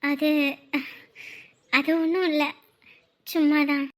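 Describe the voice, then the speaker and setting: A woman playing a shy, earnest young woman in love in a romantic drama, speaking softly and hesitantly. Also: sits high, steady tone, takes it slowly. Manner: soft, hesitant. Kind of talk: romantic story drama acting.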